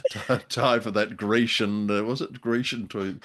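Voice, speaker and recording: A man's voice: on the low side, steady tone, measured pace, a bit bright. An older man co-hosting a casual podcast chat, with a wry, joking and rather hesitant delivery. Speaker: podcast co-host. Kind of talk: casual chat reviewing an old radio drama.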